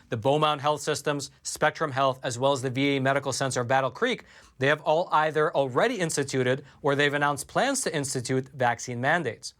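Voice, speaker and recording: A man hosting a news commentary show from a studio, delivering a scripted report and reading quotes aloud in a measured way. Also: medium pitch, a bit bright.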